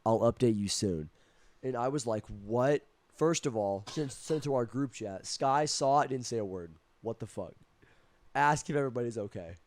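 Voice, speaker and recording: A man's voice: medium pitch, moderate pace, darkish tone. A young man co-hosting a podcast, sick and stuffy, telling a long story in casual, profane, rambling talk. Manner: rambling, profane, restarts often. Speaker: podcast co-host.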